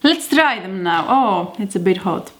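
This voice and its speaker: Greek lady cooking at home, talks real slow, keeps stopping to find the words. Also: medium pitch, expressive, a bit bright. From Greece. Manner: chatty, halting, searches for words. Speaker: home cook. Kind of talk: casual cooking video.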